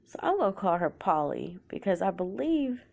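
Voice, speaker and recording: A woman's voice: mid-range voice, big pitch swings, normal speed, creaky, a little mellow. A young woman with a sore throat from a cold, telling her own story in a casual, confessional way and often trailing off into a whisper. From Oklahoma, United States.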